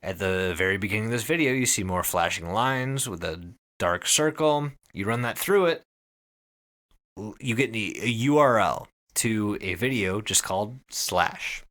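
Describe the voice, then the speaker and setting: A man hosting a podcast, telling a story casually to friends in an excited, conversational way with some swearing. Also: lowish voice, normal speed. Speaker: podcast host.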